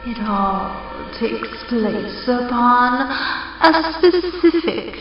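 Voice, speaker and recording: Wicked ghost hostess, cavernous echo, high swooping voice, very drawn-out, menacingly playful, horror radio show. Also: female voice, lively intonation, dull audio, exaggerated voice. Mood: wicked glee. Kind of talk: old-time radio horror hosting. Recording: cavernous echo, distant, music behind.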